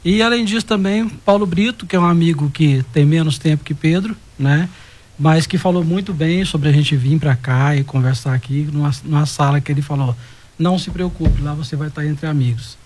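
Fifties male guest, fairly high voice, modest and slightly nervous. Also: moderate pace, darkish tone. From Goiânia, Brazil. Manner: modest, a little nervous about public speaking. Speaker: radio guest.

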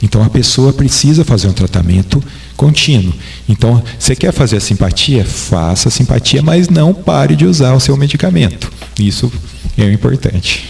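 Man, Brazilian Portuguese accent, doctor, didactic, gravelly voice. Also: medium pitch, lively intonation, normal speed, a little mellow. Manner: didactic, patient explainer. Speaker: doctor guest. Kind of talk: explanatory interview answers.

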